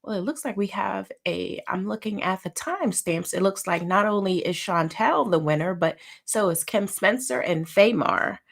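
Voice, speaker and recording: A woman's voice: mid-range voice, lively intonation, moderate pace, creaky, few pauses. A woman therapist hosting a weekly live show, sounding a little nasal from a head cold, chatting casually and greeting viewers by name. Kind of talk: casual live-stream talk.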